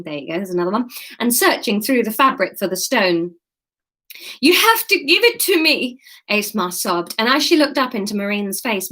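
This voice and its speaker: A woman's voice: mid-range voice, a bit bright. Chatty, giggly woman streaming live. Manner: chatty, rambling, self-mocking, laughs often. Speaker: comedian and author reading her story on a livestream. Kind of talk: casual livestream chat and reading aloud.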